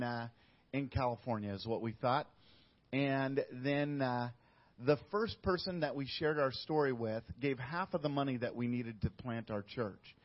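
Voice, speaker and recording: A middle-aged man, a missionary guest, telling his story in a casual interview with frequent pauses and fillers. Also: medium pitch. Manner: steady, with many uh fillers and restarts. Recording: dull lo-fi audio.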